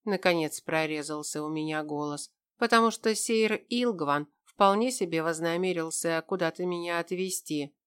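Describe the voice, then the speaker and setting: A woman narrates a fantasy audiobook in the first person. Her voice is smooth and clean, sitting a little low for a woman. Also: normal speed, few pauses.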